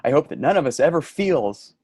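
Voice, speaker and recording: A man's voice: a little high, very animated, a little fast, slightly rough, no echo, clean studio sound, exaggerated voice. A man acting in a play reading as an earnest, fed-up male office worker, grieving and sardonic, with an emotional delivery. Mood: solemn, heartfelt. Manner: emotional, swinging from shouting to quiet grief. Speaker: actor in a staged reading.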